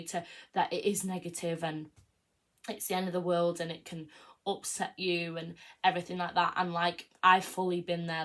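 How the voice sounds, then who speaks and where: A woman's voice: on the low side, fairly bright tone. A young woman in her early twenties hosts a podcast, talking through it in a casual solo monologue. She is frank and self-affirming, and fills her talk with "like" and "you know what I mean". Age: early 20s. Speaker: podcast host.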